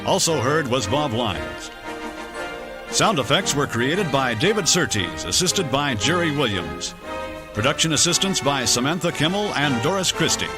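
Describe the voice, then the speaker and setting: A man's voice: mid-range voice, very animated, normal speed. A man announcing and narrating an old-time radio adventure serial in a theatrical, declamatory way. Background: dramatic music.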